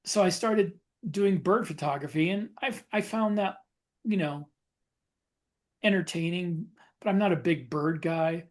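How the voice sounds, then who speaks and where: Higher-voiced man chatting casually. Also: measured pace. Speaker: podcast co-host. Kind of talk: casual chat, personal anecdotes.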